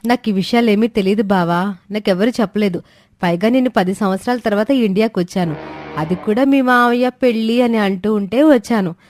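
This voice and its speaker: Man putting on a high young woman's voice, forward, playful, storybook cousin. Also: brisk, a little mellow, soft background music, lightly acted. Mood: apologetic.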